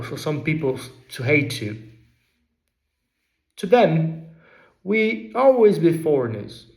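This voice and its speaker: A man's voice: on the high side, roomy sound, rough recording. A man voicing a weary older male barber, speaking in a tired, resigned way.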